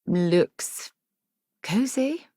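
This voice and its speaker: A principled, earnest woman explorer from a space video game. Her voice sits a little low and has a husky grain. She phrases things in an articulate, measured and formal way, recorded close and dry. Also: animated, mellow, no echo, exaggerated voice. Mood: composed, wry. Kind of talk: video game companion voice lines.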